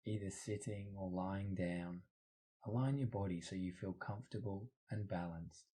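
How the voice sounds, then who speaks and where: Low, mellow male meditation guide. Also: normal speed. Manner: soothing, instructional. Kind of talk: scripted guided meditation narration.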